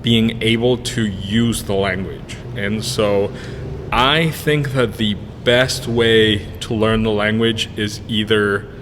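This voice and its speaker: A man's voice: lowish voice, takes it slowly. A man in his thirties whose first language is Spanish, answering interview questions in a rambling way with many fillers and restarts. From Spanish-speaking country, moved to the US in 1999. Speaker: podcast guest, brewery co-owner. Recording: room with long reverb, faint noise.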